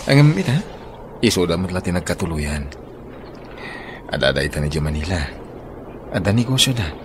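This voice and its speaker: A man's voice: sits low, sing-song, normal speed, background noise. A man acting a young man who defies his mother for the woman he loves, earnest and pleading. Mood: tender. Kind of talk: radio drama acting.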